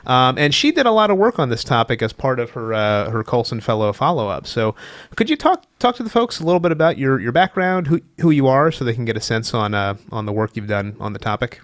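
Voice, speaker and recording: A man's voice: medium pitch, big pitch swings, fairly quick, fairly bright tone, no room sound. Chatty male podcast host, dry studio sound. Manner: upbeat, chatty, with filler uhs. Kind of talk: interview hosting.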